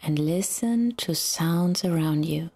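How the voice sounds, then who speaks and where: A woman guiding a relaxation meditation in a soft, soothing, half-whispered voice with long pauses. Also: sits low, slightly rough, soft background music. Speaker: yoga nidra guide.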